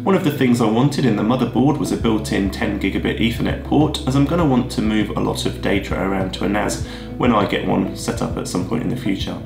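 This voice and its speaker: A man, husky from a head cold, walking through his tech choices in a steady, methodical way. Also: lowish voice, fairly quick. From Australia. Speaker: video creator. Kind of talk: scripted tech vlog explanation. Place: plain room indoors, seated at a desk. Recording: roomy echo with music behind.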